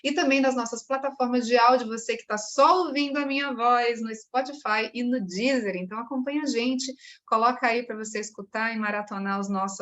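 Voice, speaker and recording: A woman with a Brazilian Portuguese accent hosting a podcast, chatty and enthusiastic. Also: mid-range voice. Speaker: podcast host.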